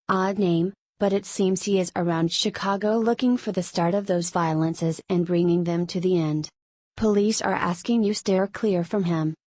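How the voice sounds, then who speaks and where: Female station announcer played by a woman for a fictional emergency broadcast: formal, measured news read, completely dry recording. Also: mid-range voice, darkish tone, no echo, close to an everyday voice. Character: female radio station newsreader reporting on city violence. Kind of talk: scripted emergency news bulletin.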